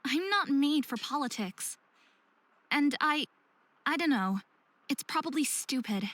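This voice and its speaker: A woman's voice: high-pitched, animated, unhurried, fairly bright tone, dry recording. A woman playing a troubled, self-hating young half-faerie in a visual novel, with earnest, emotional delivery. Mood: anguished. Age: young adult.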